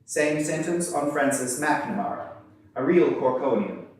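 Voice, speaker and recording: A man's voice: on the high side, lively intonation, measured pace, slight background noise. A man voicing a formal nineteenth-century newspaper court reporter, stiff and declamatory. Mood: formal, proclaiming. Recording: distant, very echoey room.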